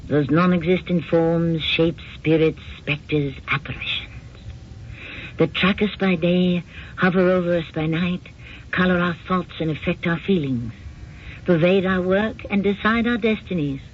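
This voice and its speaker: A man hosting a radio mystery anthology, reading a scripted introduction in a theatrical, portentous, measured manner. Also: sits high, steady tone, slight background noise. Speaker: radio drama host. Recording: muffled old radio broadcast recording.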